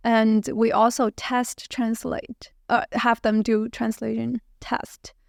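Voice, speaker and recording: Chinese-accented woman, slightly creaky voice. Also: a little high, little pitch movement, slow pace, no room sound, clean studio sound. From China. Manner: hesitant, frequent fillers and soft laughs. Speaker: guest, translator. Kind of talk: casual interview conversation.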